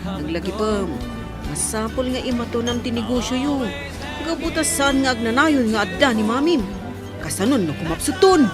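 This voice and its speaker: Cautioning family friend, female actor: frank, high-pitched, audio drama with clear music behind. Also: expressive, normal speed, natural voice. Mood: advising. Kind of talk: Ilocano audio drama acting.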